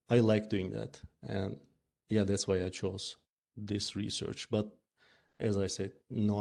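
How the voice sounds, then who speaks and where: Man from Turkey, grad student, halting answers, deep mellow voice. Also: creaky. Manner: halting, laughing. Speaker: PhD student speaker. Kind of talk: answering audience questions.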